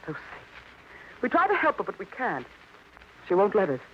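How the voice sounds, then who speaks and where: An actress playing an overwrought, defensive, insistent wife in an old-time radio crime melodrama. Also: female voice, mid-range voice, huge pitch swings, moderate pace. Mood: anguished, indignant. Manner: intense, dramatic, insistent. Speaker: radio drama actor. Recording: dull, noisy vintage radio recording.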